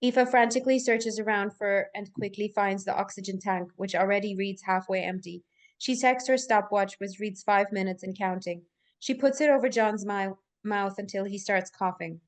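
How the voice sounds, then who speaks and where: A woman's voice: medium pitch, normal speed. A woman reading scripted stage directions as narrator at a live table read, in full descriptive sentences.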